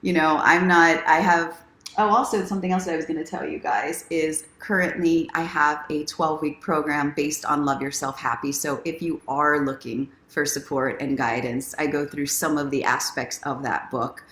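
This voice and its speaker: A woman's voice: low-pitched, fairly even intonation, normal speed, a bit bright. A woman hosting a casual livestream, encouraging and speaking straight to her viewers like a self-help coach. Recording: roomy, echoing sound.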